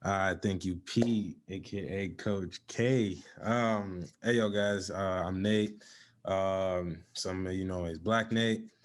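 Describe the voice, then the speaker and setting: A man's voice: deep voice, fairly even intonation, takes it slowly. A young man born in Zimbabwe and raised in South Dakota, chatting casually as a podcast guest in laid-back slang. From born in Zimbabwe, raised in South Dakota from age four. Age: young adult. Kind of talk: casual chat.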